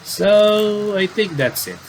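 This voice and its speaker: A man doing casual game commentary and reading aloud, in a halting, rambling way with repeated words. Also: high voice, lively intonation, a little hiss or hum, poor audio.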